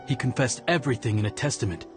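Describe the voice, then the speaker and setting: A man's voice: mid-range voice, quick pace, over music, lightly acted. An actor playing a matter-of-fact male police officer in a video game, skeptical and dryly sarcastic.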